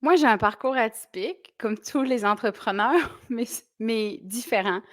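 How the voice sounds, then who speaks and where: A woman's voice: on the high side, a little slow, no room sound, studio quality. A woman in her forties from southwestern France, with a mixed accent carrying Québécois traces, chatting as a guest and laughing easily. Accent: French with Québécois traces. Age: 40s. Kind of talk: casual interview chat. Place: small studio.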